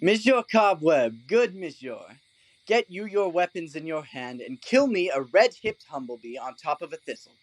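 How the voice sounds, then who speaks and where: Guy playing a silly pompous donkey man, voice swooping all over, fairly high, dry studio sound, stage comedy. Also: sing-song, moderate pace, no echo, low-quality recording. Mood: lordly, indulgent. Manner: pompous, mock-courtly, leisurely. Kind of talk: Shakespearean comic audio drama.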